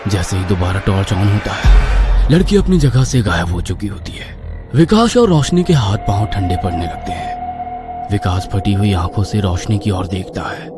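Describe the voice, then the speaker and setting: Expressive low-voiced male storyteller. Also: moderate pace, over music. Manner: theatrical, suspenseful storytelling. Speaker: narrator. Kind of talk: dramatic horror story narration in Hindi.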